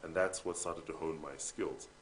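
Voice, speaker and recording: A Zambian man in his forties, a guest on a TV breakfast show, talking in a measured way, laughing often and repeating a word as he starts a sentence. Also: rumbling low, steady tone, slight background noise, low-quality recording. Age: 40s. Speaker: guest, voiceover artist and MC. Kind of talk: casual interview chat. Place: TV studio.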